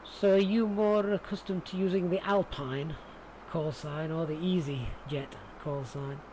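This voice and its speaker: A man's voice: a little high, fairly even intonation, a little slow. Yorkshire man chatting on a live stream. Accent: Yorkshire English. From Sheffield, England. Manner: chatty, informal, thinking aloud. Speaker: flight simulator livestreamer. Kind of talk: casual live-stream commentary, chatting with viewers. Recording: clear background noise.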